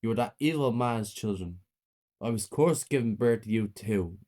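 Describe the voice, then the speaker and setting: A man's voice: fairly low pitch, animated, moderate pace, warm tone, lightly acted. A man doing a cruel, gloating murderous mother. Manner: menacing, gloating.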